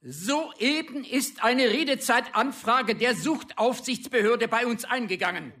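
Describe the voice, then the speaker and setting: A woman's voice: on the high side, a little slow, fairly bright tone, soft background music. An actress playing a stern, exasperated chairwoman making official announcements to an unruly assembly in a radio play. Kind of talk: formal announcements in radio drama.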